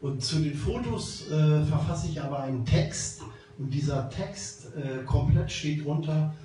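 A man in the audience keeps asking pointed follow-up questions, his voice slightly high. He sounds far from the microphone in a very echoey room with clear noise behind him. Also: measured pace, mellow. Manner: persistent, probing follow-up questions.

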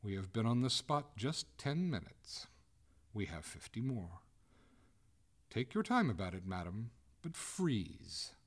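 Young gentleman villain for a storybook male reader, impeccably polite, cool, firm, with lively intonation. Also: fairly low pitch, takes it slowly, pitched above the performer's own voice, heavily acted. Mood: coolly triumphant. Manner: formal, suavely polite, firm. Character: courteous, coolly merciless young gentleman, master of the house.

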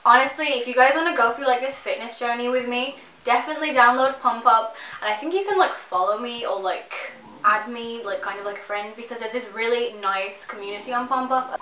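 A woman's voice: fairly high pitch, pushed and bright, reverberant, a little hiss or hum, rough recording. A young woman in her twenties chatting to camera in her bedroom, rambling enthusiastically and saying "like" a lot. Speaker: fitness vlogger. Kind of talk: casual chatty sponsored vlog. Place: bedroom, indoors.

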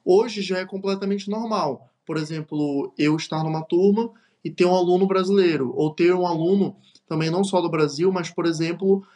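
Young brazilian guy, bit high voice, chatty podcast guest, says things twice, lots of restarts. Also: steady tone, moderate pace, hardly any pauses, mellow. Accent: Brazilian Portuguese with some European Portuguese words. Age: young adult. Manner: repeats words, hesitant restarts. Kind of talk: casual interview conversation.

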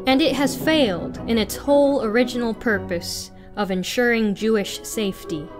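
A young woman reading a written article aloud as narration, in a deliberate, formal manner. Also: on the high side. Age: young adult. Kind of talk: scripted read-aloud narration. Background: music.

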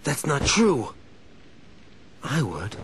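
An actor playing a cocky young rogue with a wistful streak in a video game, in a sardonic, drawling, dramatic manner. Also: male voice, mid-range voice, wildly swooping pitch, extremely slow, background noise, exaggerated voice. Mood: urgent, hurt.

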